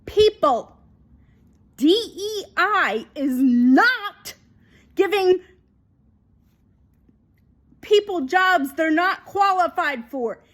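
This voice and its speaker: A woman giving an unscripted opinion monologue in an emphatic, repetitive, halting way. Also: very high-pitched, animated, a bit bright. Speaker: video commentator.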